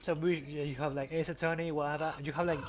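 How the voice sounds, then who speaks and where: A man's voice: fairly high pitch, level tone, fast. A man chatting casually as a podcast host, rambling and stammering with repeated words. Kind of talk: casual chat over gameplay. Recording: clearly noisy background.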